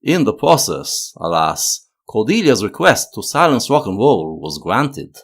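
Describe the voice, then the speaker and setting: A man narrating a scripted analytical video essay in a measured, expressive way. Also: on the low side.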